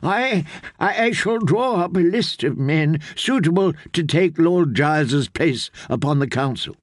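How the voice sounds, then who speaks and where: A man's voice: a little high, animated, unhurried, dry recording. A man playing a stammering old court healer. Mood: fearful. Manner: quavering, stammering, pleading. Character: frightened elderly male court healer, stammering. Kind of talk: audiobook character voice.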